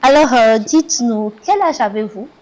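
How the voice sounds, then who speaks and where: A woman hosts a talk show and asks her guest questions, pausing often on fillers. Her voice sits on the higher side. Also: lively intonation, darkish tone, low-quality recording. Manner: hesitant, many fillers. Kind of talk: interview questions.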